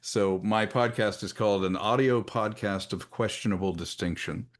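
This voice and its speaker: Male panelist, deep-pitched voice, informal conversational introduction. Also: moderate pace. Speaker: voice actor, podcaster, panelist. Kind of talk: casual self-introduction.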